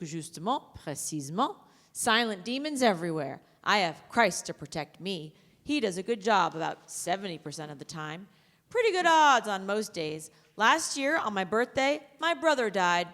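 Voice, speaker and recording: A woman's voice: mid-range voice, sing-song, normal speed, a bit bright. A woman playing a haunted, devout army private giving a confessional monologue in a live staged reading. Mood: haunted, matter-of-fact. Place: theatre stage before an audience. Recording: live theatre, long hall reverb.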